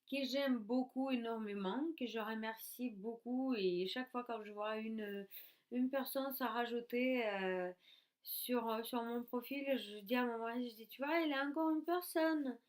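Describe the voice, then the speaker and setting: A woman in her thirties from Russia with a Russian accent, chatting casually to camera at home, hesitant with many fillers and restarts. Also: a little high. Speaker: YouTuber. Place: at home in her craft room.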